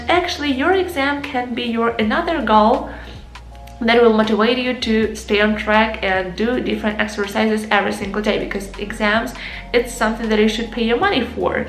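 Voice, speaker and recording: A woman's voice: a little high, fairly bright tone. A young woman whose first language is Ukrainian, giving earnest advice on learning English straight to her viewers and calling them guys. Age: young adult. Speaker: English teacher and vlogger. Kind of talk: casual advice lesson to camera. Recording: echoey room with faint music.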